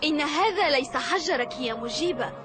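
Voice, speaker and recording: A woman's voice: high voice, animated, moderate pace, music in the background. A woman voicing a self-assured, knowing small child in a dubbed superhero cartoon, defensive and matter-of-fact. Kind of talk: dubbed cartoon acting in formal Arabic.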